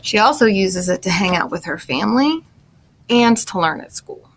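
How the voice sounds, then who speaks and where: Creaky-voiced woman explaining things to children. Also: medium pitch, lively intonation, normal speed, slight background noise. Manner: simple, instructional wording aimed at young listeners. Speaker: speech language pathologist presenting and reading a children's book. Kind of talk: explaining to children, with picture book read-aloud.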